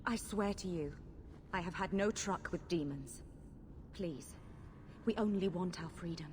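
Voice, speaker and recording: A woman's voice: fairly low pitch, measured pace, darkish tone, big-room sound, slight background noise, lightly acted. An actress playing a proud, impassioned rebel mage woman in a fantasy video game, earnest and persuasive. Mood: urgent pleading. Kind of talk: dramatic video game acting.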